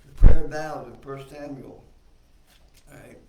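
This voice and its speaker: A soft-voiced man giving an informal, reflective talk on faith as a guest speaker at a church service, in a conversational way. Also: fairly high pitch, level tone, measured pace. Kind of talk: informal sermon teaching from notes and scripture. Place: indoor service room, mic some way off. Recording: distant mic, roomy echo, faint noise.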